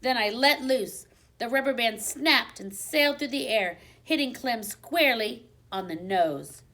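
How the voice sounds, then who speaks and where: A woman reading a children's storybook aloud in an expressive, dramatic manner, dropping to a hush for suspense. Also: a little high, unhurried. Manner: dramatic, with hushed suspenseful drops.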